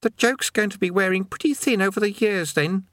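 Whiny teenage boy for an audiobook, done by a man: petulant, pleading, pitched high and strained, slow when he insists, recorded dry and close. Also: a bit bright, no room sound. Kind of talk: audiobook character voice.

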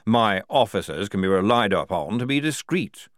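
A man's voice: on the low side, lively intonation, moderate pace, a bit bright, no room sound. A man puts on a senior army general for an audiobook. He is curt and commanding, with an irritable edge. The sound is dry and close. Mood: curt, displeased. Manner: curt, authoritative. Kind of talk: audiobook character dialogue.

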